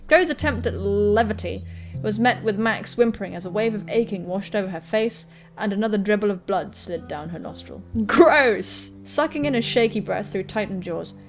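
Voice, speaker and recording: A woman's voice: medium pitch, animated, normal speed, faint music behind. A woman doing let's-play commentary, reading a visual novel aloud and joking crudely with plenty of swearing. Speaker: gaming video host.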